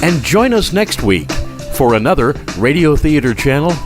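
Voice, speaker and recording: A man's voice: a little high, sing-song, normal speed. A man reading a scripted outro as an announcer. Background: brassy music.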